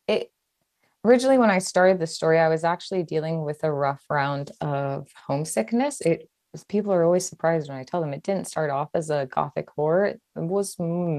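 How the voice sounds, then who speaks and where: A woman from Hawaii with a strikingly deep voice speaks as an author being interviewed. Her delivery is conversational, expressive and chatty, and the sound is dry, with very little room in it. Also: no echo. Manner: chatty, expressive, laughs easily. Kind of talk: casual conversational interview about own writing.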